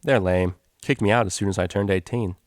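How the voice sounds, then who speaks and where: A man's voice: fairly low pitch, a little fast, no room sound, studio quality, natural voice. A man voicing a brash young female unicorn DJ in a slangy, offhand storybook reading. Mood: blunt, offhand.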